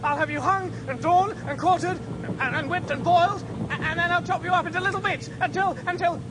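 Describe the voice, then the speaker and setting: A man's voice: very high-pitched, steady tone, a little fast, a crowd in the background, background noise. An actor playing a bewildered, indignant ordinary man with an English accent and a spluttering, sarcastic manner in a radio comedy. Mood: outraged.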